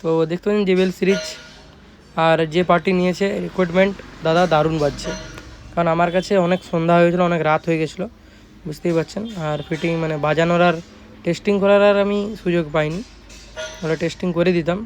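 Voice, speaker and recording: A man's voice: sits high, fairly even intonation, fast talker, faint music behind. A man with a West Bengal Bengali accent giving a casual product showcase as a shop owner, in emphatic, repetitive sales talk. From West Bengal, India. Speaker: speaker shop owner presenting his work.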